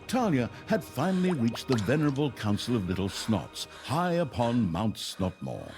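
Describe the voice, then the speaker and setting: Male game narrator, mock-epic and wry, sweeping highs and lows, music underneath. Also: very animated, normal speed. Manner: theatrical, mock-grand storyteller with wry delivery. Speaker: narrator of a fantasy video game. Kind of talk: scripted comedic story narration.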